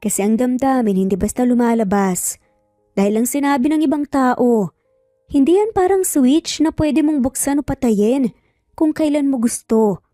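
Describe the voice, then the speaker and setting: Story role, done by a woman: composed dad, earnest and measured, soft-edged delivery. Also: high-pitched, no room sound, clean studio sound, soft background music, close to an everyday voice. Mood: earnest, patient. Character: composed, reflective father, serious and patient. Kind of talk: dramatized storytelling.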